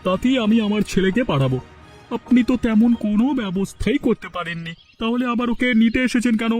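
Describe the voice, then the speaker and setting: A man voicing a stubborn, penny-pinching village father in a cartoon, blunt and quick to bluster. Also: high-pitched, lively intonation, darkish tone, faint music behind. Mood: defiant. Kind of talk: cartoon voice acting.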